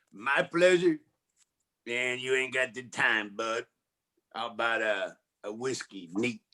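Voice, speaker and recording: A streetwise private eye played by a man from a campy forties radio drama. His voice is sharp and mid-pitched, and he takes his time over wry, slangy wisecracks. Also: takes it slowly. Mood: breezy. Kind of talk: campy 1940s radio noir acting. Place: live community theatre stage performance.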